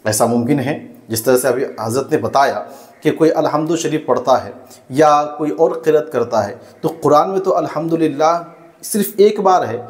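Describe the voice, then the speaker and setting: A man hosting a religious explainer, addressing viewers in a measured, didactic and cautioning way. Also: on the high side. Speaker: host and religious commentator.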